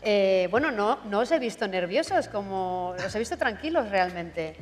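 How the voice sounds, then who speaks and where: A woman's voice: medium pitch, animated, moderate pace, in a large hall, slight background noise. A woman hosting a live cooking contest, upbeat and chatty, slipping in phrases. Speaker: contest presenter. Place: auditorium stage.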